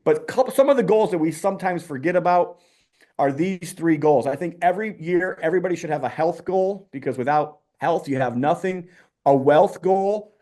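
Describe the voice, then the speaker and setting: A man's voice: fairly high pitch, moderate pace, warm tone. Upbeat man hosting a community call. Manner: upbeat, many ums and restarts. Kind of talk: casual online call hosting.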